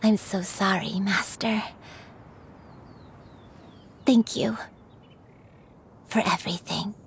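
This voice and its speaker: A woman voicing a gentle elderly grandmother, a crane in human form, speaking tenderly in a game cutscene. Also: mid-range voice, creaky, a little hiss or hum. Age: older. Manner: tender, slow and soothing.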